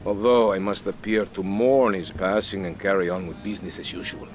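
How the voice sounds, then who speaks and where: An actor playing a courteous, theatrical Latin American colonel in a video game, speaking formal, florid English with a Spanish accent. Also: male voice, sits low, fairly even intonation, fairly quick, lightly acted. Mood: effusive. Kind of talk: video game cutscene acting. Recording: music behind the voice.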